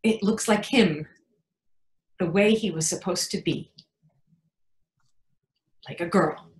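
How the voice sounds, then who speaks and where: A woman playing an anxious, protective mother in a frank, wry, confiding dramatic monologue, pausing for emphasis. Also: lowish voice. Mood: earnest, anxious. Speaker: actor in a readers theatre performance. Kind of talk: dramatic stage monologue, conversational storytelling. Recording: echoey room sound.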